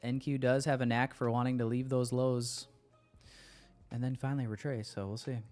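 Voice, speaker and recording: Male streamer, mid-pitched and clean-toned, casual and jokey slang with some swearing, talking to his chat. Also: little pitch movement, normal speed, a little mellow, faint music behind. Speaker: livestream host. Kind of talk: casual livestream chat with viewers.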